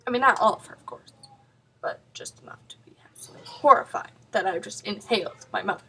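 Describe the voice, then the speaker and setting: A woman's voice: high voice, gravelly, a bit bright, pitched above the performer's own voice, full character voice. A woman performing a comedic stage monologue as a flustered, wryly self-deprecating grieving daughter, with dramatic swells and drops in her delivery. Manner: dramatic, halting emphasis with big swells and drops. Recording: distant mic.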